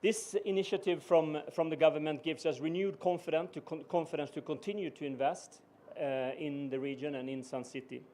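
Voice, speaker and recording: A middle-aged man with a Swedish accent gives a measured, formal speech. His voice sits a little high, and he pauses often on "uh" between phrases. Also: steady tone, darkish tone, a little hiss or hum. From Sweden. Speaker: business executive giving a speech. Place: ceremony venue.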